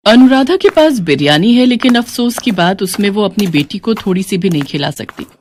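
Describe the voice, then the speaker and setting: A woman's voice: mid-range voice, lively intonation, normal speed, a little mellow, a little hiss or hum. A woman reading a scripted moral story as its narrator.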